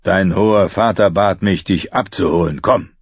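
An austere, commanding holy man, voiced by a male narrator, speaking slowly in a deep, husky voice. He is a fantasy audiobook figure who gives orders sternly, in a dull recording. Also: no echo. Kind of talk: audiobook character voice.

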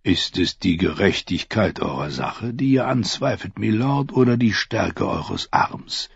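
A man voicing a scornful, defiant old knight in a slow, husky, mocking tone. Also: on the low side, animated, no room sound, lo-fi sound, exaggerated voice. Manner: contemptuous, mocking.

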